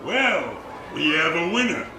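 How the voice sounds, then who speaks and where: An actor playing a surly, gruff middle-aged carnival racketeer, sarcastic and threatening, in a live old-time radio drama. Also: male voice, a little high, big pitch swings, unhurried. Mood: gruff sarcasm. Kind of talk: live 1940s-style radio drama acting. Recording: roomy live theater, noisy background.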